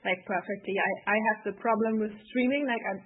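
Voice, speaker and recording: Giggly breathy woman on a call line. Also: medium pitch, fast, phone-quality sound. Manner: bubbly, giggly, many "like" fillers. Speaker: podcast guest. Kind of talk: casual video-call chat. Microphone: video call.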